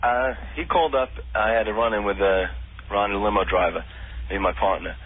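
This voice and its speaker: A man, a police officer phoning a radio show, recounting his complaint in a hesitant, measured way. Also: on the low side. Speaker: phone-in caller, police officer. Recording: muffled noisy telephone line.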